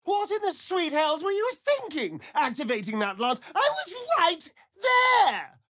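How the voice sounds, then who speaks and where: Sardonic vain British vampire, video game role, slow arch drawl, very animated pitch. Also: male voice, no room sound, clean studio sound, exaggerated voice. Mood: indignant, exasperated. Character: sardonic, vain male vampire rogue, theatrical and catty. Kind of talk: video game character voice lines.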